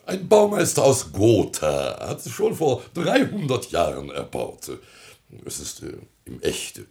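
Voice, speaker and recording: An actor playing a self-important small-town mayor in a comic radio drama, speaking ceremoniously and with hesitations. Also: male voice, medium pitch, wildly swooping pitch, unhurried, gravelly, darkish tone, exaggerated voice. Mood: ceremonious, proud. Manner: pompous, ceremonious, hesitant with fillers.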